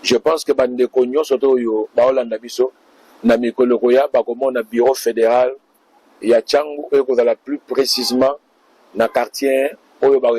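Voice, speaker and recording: A man's voice: mid-range voice, mellow, husky, no echo, rough recording. A man answering interview questions as a party official, speaking in a speechlike way to the public.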